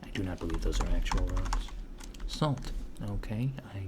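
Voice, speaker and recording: A man playing a mild-mannered, curious alien interviewer in softspoken ASMR roleplay, asking hesitant, politely puzzled, clinical questions. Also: low voice, lively intonation, moderate pace. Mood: curious, musing. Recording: clear background noise, keyboard typing. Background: computer keyboard typing.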